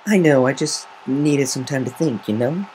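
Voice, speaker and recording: A man's voice: mid-range voice, normal speed, darkish tone, a little hiss or hum, voice pushed up, close to an everyday voice, poor audio. A man voicing a cocky tomboy female pegasus pony, casual and snarky with nervousness underneath. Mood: sheepish.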